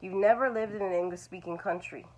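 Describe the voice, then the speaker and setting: A woman's voice: lowish voice, fast talker, a little mellow, rough recording. A woman, teaching language learners in a reassuring, repetitive way. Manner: reassuring, repeats "it's okay". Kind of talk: talking-head video lesson.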